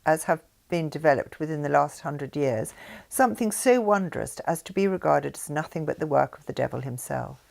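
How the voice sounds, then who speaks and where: An older woman reads a spiritual text aloud. Her voice sits fairly low and has a strong creak to it. The delivery is formal and deliberate, leaning on certain words for emphasis. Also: darkish tone. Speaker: reader of her mother's written messages. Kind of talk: reading aloud a spiritual text with brief asides.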